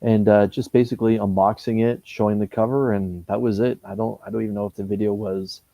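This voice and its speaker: A Canadian man in his fifties, a comic book YouTuber talking casually in an interview, pausing often with fillers and restarts. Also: on the low side, little pitch movement, dry recording, dull audio. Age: 50s. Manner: hesitant, many fillers and restarts.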